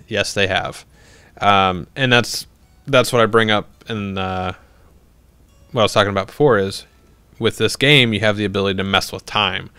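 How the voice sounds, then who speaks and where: A young man with a low, smooth voice chatting casually on a livestream. He rambles through viewer questions with plenty of ums and restarts and laughs easily. The sound is close and dry. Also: a bit bright, no room sound. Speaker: livestream host.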